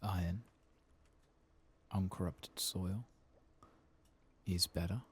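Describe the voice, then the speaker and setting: A man giving soft-spoken, sometimes whispered ASMR gameplay commentary, murmuring and musing as if to himself. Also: deep voice, steady tone, slow, a little hiss or hum. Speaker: game streamer.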